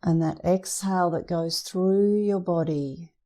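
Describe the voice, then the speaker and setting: Slow, soft-toned woman guiding meditation. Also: fairly low pitch, fairly even intonation. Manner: soothing; gentle, unhurried instruction with pauses. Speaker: meditation instructor. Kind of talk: guided meditation.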